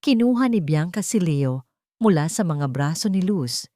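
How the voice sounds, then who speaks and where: Lower-pitched woman narrating a story. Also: expressive, normal speed, dark tone, dry recording. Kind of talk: scripted dramatic audio story narration.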